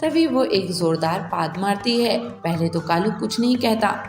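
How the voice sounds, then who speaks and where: A woman's voice: mid-range voice, lively intonation, unhurried. Woman narrating with music and echo. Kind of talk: storytelling narration. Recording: echoey room with long reverb and music.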